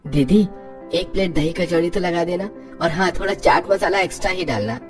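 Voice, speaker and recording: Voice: medium pitch, a little fast, soft background music, voice pushed up, lightly acted. A narrator voicing an enthusiastic male street-food customer in a gushing, exclamatory way. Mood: casual, friendly.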